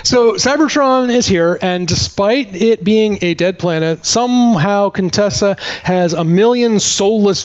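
A man's voice: high voice, muffled recording. A man giving a comedic movie plot recap as a podcast host, with sarcastic, exasperated storytelling.

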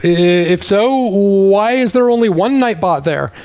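A man with a notably high-pitched voice gives a comedic movie recap as a podcast host. His delivery is sardonic and exasperated, like someone fed up with the story he is telling. The audio is a little dull and lo-fi. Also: animated, a little slow, a little mellow.

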